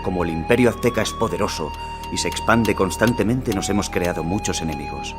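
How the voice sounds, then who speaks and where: A man with a Castilian Spanish accent playing a grave Aztec jaguar warrior, narrating his campaigns in a measured way for a strategy game cutscene. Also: low-pitched, natural voice. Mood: solemn, commanding. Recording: music under the voice. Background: music.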